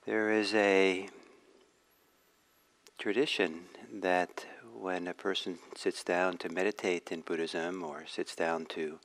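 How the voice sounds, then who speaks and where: A man leading a guided meditation as a teacher, speaking in a measured, reflective way with frequent pauses. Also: deep voice, fairly bright tone.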